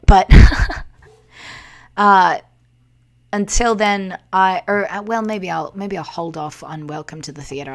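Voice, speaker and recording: A woman's voice: fairly low pitch, creaky, fairly bright tone. A woman livestream host and performer chatting casually and theatrically into a desktop microphone, with a smoky voice that is a bit worn from the day before. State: voice rough the day after a livestream. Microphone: Yeti desktop microphone.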